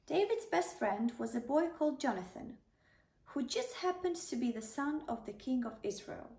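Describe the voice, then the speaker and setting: A woman's voice: sits high, animated, normal speed, creaky, warm tone. A woman narrating a children's bedtime story, reading aloud as a storyteller. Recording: roomy, slightly distant microphone.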